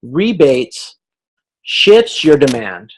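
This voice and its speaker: A man giving an informal explanatory lecture as a class instructor, talking conversationally and haltingly as he thinks aloud through everyday examples. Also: a little high, sing-song, slightly rough, low-quality recording. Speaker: instructor leading a class video conference.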